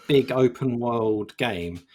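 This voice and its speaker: Husky-voiced man in casual game chat. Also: mid-range voice, takes it slowly, darkish tone. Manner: rambling, many restarts and fillers. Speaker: podcast co-host.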